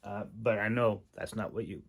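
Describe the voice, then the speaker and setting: A man giving a casual, unscripted critique as a host, halting and thinking aloud with long pauses. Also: lowish voice, steady tone, vocal fry, breathy edge. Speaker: host critiquing a writer's manuscript.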